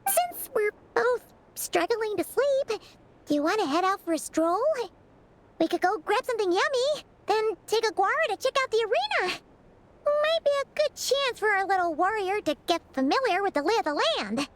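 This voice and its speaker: A tiny, excitable companion creature from a game, bubbly and speaking of herself by name. The voice is extremely high and squeaky. A faint hiss runs underneath. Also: female voice, moderate pace. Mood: eager. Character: tiny chirpy female floating companion, excitable. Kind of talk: game cutscene dialogue.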